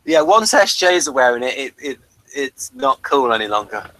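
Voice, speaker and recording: A man's voice: medium pitch, expressive, moderate pace, bright, forceful tone, low-quality recording. A man co-hosting a casual podcast chat about a TV episode, now and then reading out lines from a page. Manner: chatty, reads out bits from a page. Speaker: podcast co-host.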